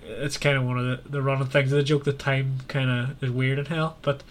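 A man's voice: mid-range voice, little pitch movement, fairly quick. A man hosting a casual book discussion in a conversational, questioning way. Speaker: podcast host.

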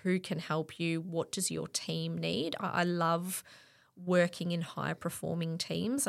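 An Australian woman in her thirties talks through her career in a relaxed podcast chat. Her voice sits a little low, with a gravelly, creaky edge. She reflects openly and drops in plenty of ums along the way. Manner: candid and reflective, with frequent fillers. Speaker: interview guest, sports event operations director. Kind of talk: casual podcast conversation.

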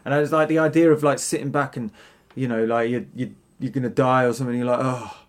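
Casual male talker, rambling and giggly, full of restarts; ordinary mid pitch. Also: steady tone, quick pace. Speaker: online synth builder. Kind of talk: casual podcast chat.

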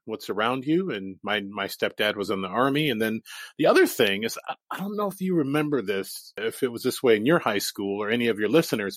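A man's voice: mid-range voice, no room sound. A man in his forties, a native Japanese speaker, a guest in an interview who tells his life story candidly and laughs easily.

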